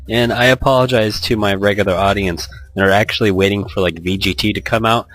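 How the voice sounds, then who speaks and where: A man's voice: lowish voice, steady tone, a little fast, a bit bright, rough recording. A man hosting a Let's Play, chatting casually and reading visual novel text aloud in a rambling, joking way.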